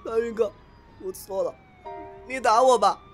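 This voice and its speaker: A man's voice: very high voice, normal speed, soft background music. A man acting a naive, clingy, boyish young master in an amateur Chinese audio drama. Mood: tearful, apologetic.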